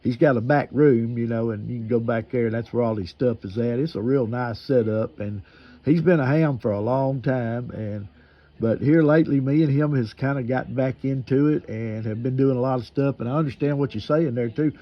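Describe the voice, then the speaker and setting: A man with a mid-pitched voice talks over a slightly muffled amateur radio link. His delivery is casual and folksy, wandering along in plain colloquial speech. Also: moderate pace. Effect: radio transmission. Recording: dull, limited-band amateur radio audio.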